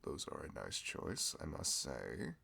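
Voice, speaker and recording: Deep gravelly man, chatty and giggly. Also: wildly swooping pitch, a little slow, sharp tone. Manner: chatty, giggly, friendly shopkeeper talk. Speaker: ASMR roleplay creator. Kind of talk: scripted audio roleplay, chatty.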